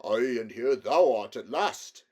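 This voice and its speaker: A man voicing a bluff, hearty older king with a gruff, commanding way of speaking. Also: expressive, measured pace, darkish tone. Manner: booming, commanding, old-fashioned formal speech.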